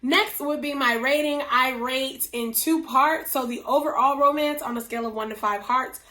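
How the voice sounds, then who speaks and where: A woman hosting a book review vlog, losing her voice and sounding hoarse, gushing with slow drawn-out emphasis. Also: sits high, pushed and bright. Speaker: book reviewer, channel host. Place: indoors at home, whiteboard nearby.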